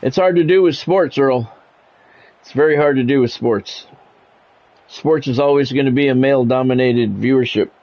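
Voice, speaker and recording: A man's voice: mid-range voice, moderate pace, a little mellow, rough recording. A man doing sports play-by-play commentary in short announcer-style phrases, mixed with casual chat to his viewers. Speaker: live streamer and play-by-play commentator.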